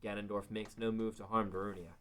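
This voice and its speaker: A man's voice: fairly low pitch, flat delivery, fairly quick, a bit bright. A man narrating a scripted fantasy story as the narrator of a table read.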